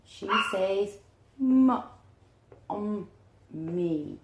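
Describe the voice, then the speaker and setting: A woman teacher reading aloud to young children in an encouraging manner, with questions and deliberate pauses. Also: medium pitch, expressive, noisy background. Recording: roomy, echoing room sound.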